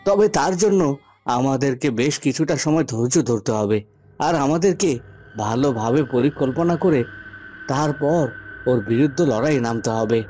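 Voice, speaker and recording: A man voicing a resolute, patient young prince in a fairy-tale cartoon, speaking in an earnest, measured, declamatory way. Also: mid-range voice, lively intonation, warm tone, lightly acted. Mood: stern determination.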